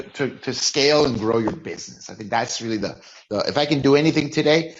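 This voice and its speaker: A man's voice: medium pitch, lo-fi sound. A man in his forties, from Mexico with a Californian accent, telling his story as a podcast guest, often repeating and restarting words. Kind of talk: casual interview storytelling.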